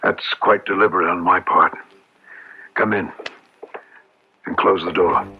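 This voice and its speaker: An actor playing a grave, deliberate transformed husband in an old-time radio drama, with a measured, theatrical delivery. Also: male voice, low voice, steady tone, vocal fry, a little hiss or hum. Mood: ominous. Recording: dull vintage radio broadcast with music.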